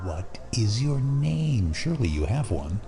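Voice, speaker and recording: An actor playing a gleeful, obsessive asylum lunatic in an audio drama, cryptic and giggling. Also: male voice, on the low side, big pitch swings, measured pace, warm tone. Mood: eerie, gleeful.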